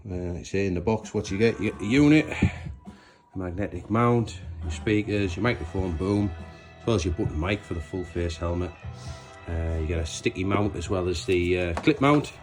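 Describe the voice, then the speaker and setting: Man, very low pitch, casual how-to demonstration, unscripted, hesitant, matter-of-fact. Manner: matter-of-fact, hesitant, talking while working with his hands. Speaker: presenter of a DIY installation tutorial.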